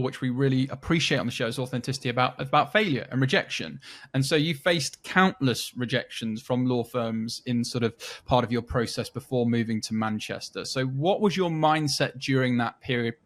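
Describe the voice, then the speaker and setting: A man's voice: medium pitch, fairly bright tone. A man hosting a podcast interview, asking encouraging, chatty questions. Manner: encouraging, chatty, jokes about football rivalry. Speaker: podcast host.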